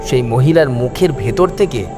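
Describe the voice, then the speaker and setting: A man's voice: mid-range voice, lively intonation, normal speed. A man narrating a first-person horror story in a suspenseful, theatrical style. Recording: music behind the voice. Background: background music.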